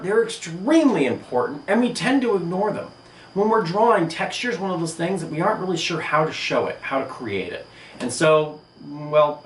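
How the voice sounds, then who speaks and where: A man teaching an art lesson on video, speaking emphatically in short phrases with pauses. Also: a little high, fairly bright tone. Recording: echoey room, distant mic, noisy.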